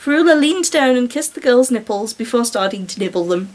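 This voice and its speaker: A woman with a high-pitched British voice reads a story aloud in an amateur style. She is giggly and openly incredulous, breaking into sarcastic commentary on what she reads. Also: animated, brisk, darkish tone. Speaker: reader and commentator. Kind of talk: amateur story reading with mocking asides.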